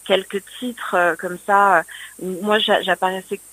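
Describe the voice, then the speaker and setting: A woman's voice: medium pitch, fairly even intonation, measured pace, a bit bright, rough recording. A woman singer-songwriter being interviewed on the radio, hesitant and full of fillers and restarts. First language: French.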